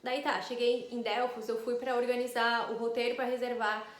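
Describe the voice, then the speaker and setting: Woman, Brazilian, astrologer, casual vlog storytelling, chatty, rambling, higher voice, roomy echo. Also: little pitch movement, normal speed. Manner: chatty, rambling, frequent tag questions. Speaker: astrologer sharing a travel story.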